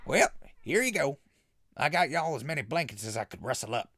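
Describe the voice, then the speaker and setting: A man's voice: medium pitch, sing-song, fairly quick, a bit bright. A man playing a friendly, eccentric hermit hunter with chummy, drawling backwoods talk in a comic post-apocalyptic audio drama. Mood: friendly, hospitable.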